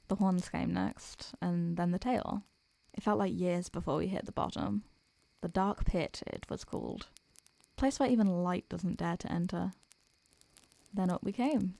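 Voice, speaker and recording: An ancient female succubus, acted by a woman, tender and protective in an intimate roleplay. Her soft voice is warm and dark with a creaky edge, recorded very dry. She speaks gently and without hurry, wistful and affectionate. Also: on the low side, no room sound. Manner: gentle, affectionate, unhurried, murmuring endearments. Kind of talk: intimate soothing roleplay audio, ASMR-like.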